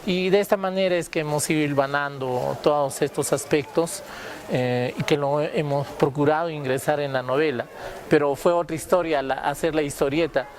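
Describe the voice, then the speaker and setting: A man explains a creative project in an interview, haltingly searching for his words. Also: a little high. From Peru. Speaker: comic strip creator.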